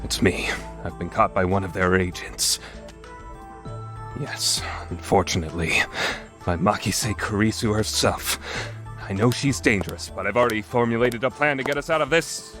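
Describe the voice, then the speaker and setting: A man's voice: lowish voice, moderate pace, music in the background, close to an everyday voice. A man playing a paranoid, theatrical young self-styled mad scientist in an anime dub, with pompous, dramatic delivery. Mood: suspicious, theatrical. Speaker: amateur voice actor. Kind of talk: dramatic fandub performance with first-person narration.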